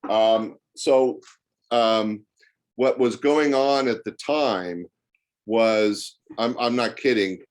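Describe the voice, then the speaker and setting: A man's voice: mid-range voice, slow. An older man, a talkative podcast guest and former club owner, speaking conversationally in an interview and telling anecdotes.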